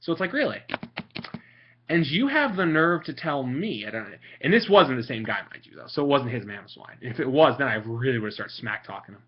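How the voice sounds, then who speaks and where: Young guy ranting sarcastic, kinda husky, muffled lo-fi mic, lots of um and like. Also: very animated, a little fast. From Italian. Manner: sarcastic, mocking, frequent fillers. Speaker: vlogger. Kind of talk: casual rambling commentary. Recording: muffled low-fi home recording.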